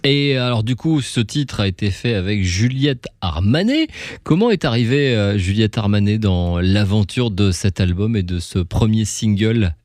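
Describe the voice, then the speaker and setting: A man's voice: on the low side, animated, normal speed, a little mellow, no echo. A man hosting a casual radio interview, asking informal, rambling questions. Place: radio studio.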